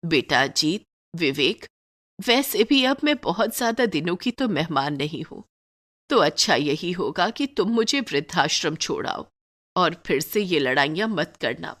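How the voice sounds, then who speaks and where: A woman voicing an old, weary, self-sacrificing mother-in-law in a Hindi moral story cartoon, speaking slowly and pleadingly. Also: sits low, lively intonation, fairly bright tone, voice pushed down, full character voice.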